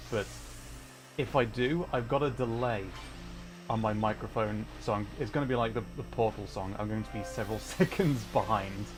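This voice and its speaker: A man livestreaming a video game, chatting casually with viewers and explaining the play in an excitable way. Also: fairly low pitch, normal speed. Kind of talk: casual live gaming commentary, chatting with viewers. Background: video game music.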